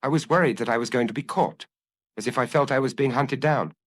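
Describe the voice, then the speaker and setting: A man's voice: mid-range voice, brisk, fairly bright tone, no room sound, clean studio sound, pitched above the performer's own voice, natural voice. A man voicing an anguished, restless fugitive office clerk, agitated and confessional, in an audiobook. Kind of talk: audiobook character dialogue.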